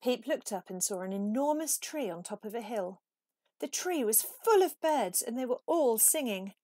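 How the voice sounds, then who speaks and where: Animated woman reading a children's story. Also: fairly high pitch, normal speed, no room sound, clean studio sound. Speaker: storybook reader. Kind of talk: expressive children's story read-aloud.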